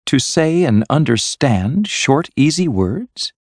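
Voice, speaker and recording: Storybook lady guest, played by a male reader: sceptical, politely mocking, raised pitch, lively inflection. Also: slow pace, dry recording, studio quality. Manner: polite, teasing. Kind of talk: storybook character voice.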